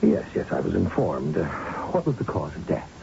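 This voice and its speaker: A condescending male boss, smooth in manner and scheming. His voice is gravelly and swoops expressively as he patronizes. It is heard on a muffled vintage radio drama recording. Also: low-pitched, wildly swooping pitch, dark tone, faint music behind, heavily acted. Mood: breezy, patronizing. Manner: urbane, patronizing, deliberate. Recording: muffled, faintly noisy old radio recording.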